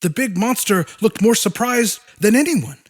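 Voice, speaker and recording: A man's voice: sits high, expressive, normal speed. A man narrating a fiction audiobook in a dramatic, scripted storytelling style.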